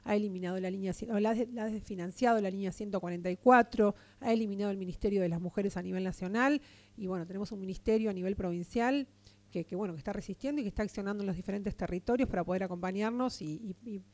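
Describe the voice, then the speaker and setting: An Argentine woman giving a radio interview, talking with earnest, steady conviction and often restarting her phrases. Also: mid-range voice, quick pace. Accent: Argentine Spanish. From Argentina, Partido de la Costa. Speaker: interviewed guest, local political figure.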